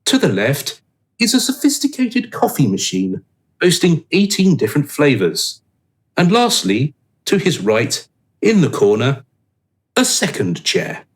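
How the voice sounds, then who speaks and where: Measured male radio play narrator. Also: fairly high pitch, wildly swooping pitch. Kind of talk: scripted scene-setting narration.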